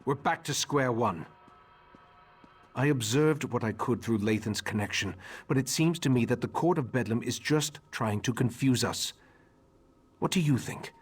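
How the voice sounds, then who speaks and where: Male actor as stern elder mage, husky rough-edged voice, authoritative, exacting, measured fantasy game dialogue. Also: medium pitch, lightly acted. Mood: reproving. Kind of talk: video game character dialogue.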